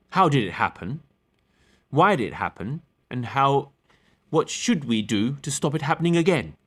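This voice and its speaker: A man playing an authoritative, defensive captain giving evidence, speaking formally, deliberately and firmly in a table read of a courtroom play. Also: medium pitch, natural voice. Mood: measured, explanatory.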